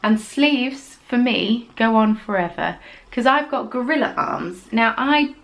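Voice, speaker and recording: A young woman hosting a podcast, chatting casually about her knitting in a rambling way and dropping into quiet, whispered asides. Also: on the high side, measured pace. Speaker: podcast host.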